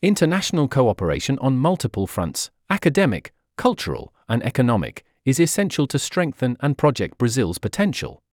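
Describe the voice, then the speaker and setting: Older man, from the Netherlands, interviewee, formal, measured, lively intonation, dry sound. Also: medium pitch, dry recording. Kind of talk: read-out interview answers.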